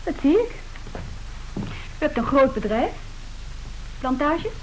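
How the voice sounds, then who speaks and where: A woman's voice: high-pitched, big pitch swings, slow, exaggerated voice, rough recording. An actress playing a bold, businesslike young woman from a wealthy family, pert and self-assured, in a radio play. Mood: pert, insistent. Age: young adult. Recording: hissy old radio drama recording.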